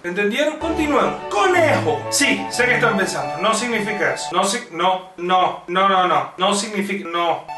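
Young male vlogger, Venezuelan accent, high-pitched, exaggerated comic delivery, picked up from a distance in an echoey room. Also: moderate pace, few pauses. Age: young adult. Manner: animated, theatrical, exaggerated emphasis. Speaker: video blogger. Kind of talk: comedic explainer vlog to camera. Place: small living room, indoors. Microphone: camera a short distance away. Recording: roomy, distant microphone, music behind. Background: music.

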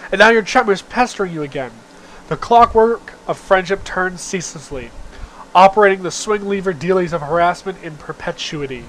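A man's voice: high-pitched, brisk, a bit bright, slight background noise, poor audio. A young man giving an amateur, theatrical read-aloud of a story, with the odd stumble. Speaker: reader of a webcomic read-aloud.

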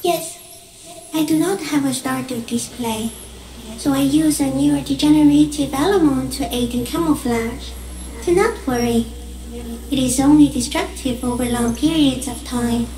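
A woman voicing an ancient, gentle, alien stick insect in a video game, speaking solemnly in measured, poetic, halting phrases. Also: high-pitched. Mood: quietly matter-of-fact. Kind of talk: dramatic video game dialogue. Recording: echoing voice over game music. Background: music.

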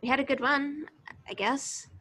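A woman's voice: sits high, normal speed, sharp tone. A woman reading the role of a guarded, weary young love interest at an amateur table read, understated and wry. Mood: regretful. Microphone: video call.

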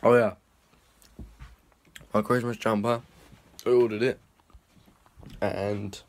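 A man's voice: fairly low pitch, level tone, slow. A young man, rambling and swearing in a casual vlog filmed on a phone held at arm's length. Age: young adult. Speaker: vlogger. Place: small indoor rooms and a hallway.

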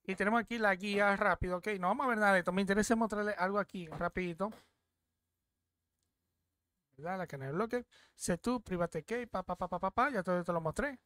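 A young man chats his way through a screen-share tour of an app from a desk at home. His voice is smooth and clean, and it sounds dry and close. He keeps checking in with his viewers as he goes. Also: high voice, brisk, fairly bright tone, dry recording. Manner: chatty, repeats "¿ok?" often. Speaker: crypto app reviewer. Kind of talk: casual screen-share walkthrough.

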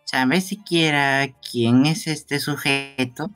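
A theatrical boy gravedigger from a video game, played by a man. He is morbidly courteous and wistful, and takes his words slowly over faint music. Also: mid-range voice, a bit bright, smooth. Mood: taunting. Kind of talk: fan game dubbing.

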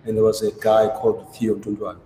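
A man from South Africa talks about his work in a low voice, often restarting his sentences and hesitating. He is recorded over a video call in a gallery room, so the sound rings with long reverb and a faint hiss underneath. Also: monotone, a little fast, warm tone. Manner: hesitant, many restarts and fillers. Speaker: ceramic artist. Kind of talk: conversational interview. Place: gallery showroom, indoors. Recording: roomy echo with faint noise.

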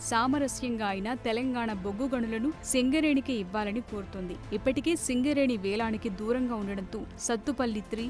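A woman narrating a scripted news report in a brisk, formal read. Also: on the high side, darkish tone. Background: news music.

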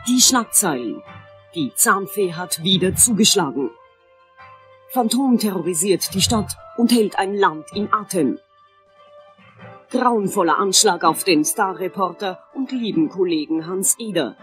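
An actress playing a sensational television newsreader in a crime audio drama, announcing in a crisp, dramatic manner. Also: female voice, mid-range voice, lively intonation, measured pace, lightly acted. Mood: sensational, grave. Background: faint music.

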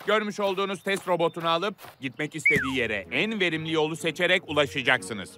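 A man's voice: on the high side, lively intonation, quick pace, background noise, lightly acted. An actor voicing a stern older-model car in a children's cartoon, curt, formal and commanding as he supervises tests. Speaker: test supervisor. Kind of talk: animated children's cartoon acting.

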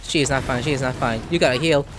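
A man giving casual gameplay commentary as a video host, excitable, reactive and prone to swearing. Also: medium pitch, fast talker, a little hiss or hum, poor audio. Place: small room indoors at a computer. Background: faint game music.

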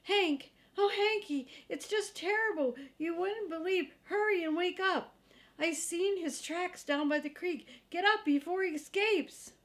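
A woman reading a storybook, voicing an excitable, whiny little male dog who squeals and pleads frantically. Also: measured pace. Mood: panicked, pleading. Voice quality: high-pitched squealing.

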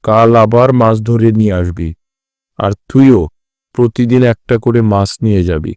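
A man's voice: fairly low pitch, measured pace, a little mellow, clean tone, no echo, close to an everyday voice. A man voicing a greedy, scheming village landlord figure in a cartoon, with colloquial rustic speech. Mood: gloating. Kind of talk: Bengali cartoon voice acting.

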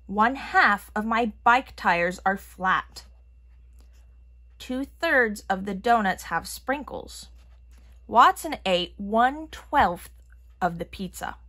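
A woman reading a children's picture book aloud in an expressive manner. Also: mid-range voice, slow. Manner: expressive, acting out characters' lines. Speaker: storybook reader.

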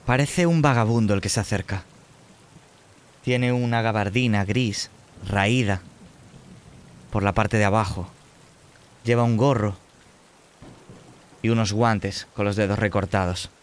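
A man narrating a tabletop horror game as game master, measured and descriptive. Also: fairly low pitch, slight background noise.

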